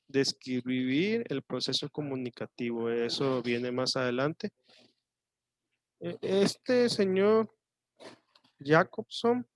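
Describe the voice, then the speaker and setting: A male teacher from Mexico with a coastal Mexican Spanish accent, explaining a lesson slowly and thinking aloud with fillers and pauses. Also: medium pitch, vocal fry, no room sound. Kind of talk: online class lecture.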